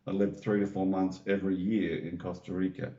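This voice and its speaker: A man from Australia, answering interview questions in an easygoing, relaxed way. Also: low-pitched, brisk. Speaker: interview guest. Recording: roomy, dull video call audio.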